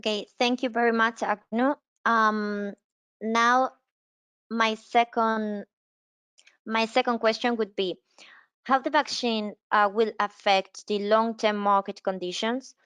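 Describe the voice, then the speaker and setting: A woman's voice: on the high side, steady tone, slow, fairly bright tone, clean tone, no room sound. A woman hosting a panel on a conference call, politely handing questions to the speakers. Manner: polite, deferential. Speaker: roundtable host, moderator. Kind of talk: moderating a panel discussion.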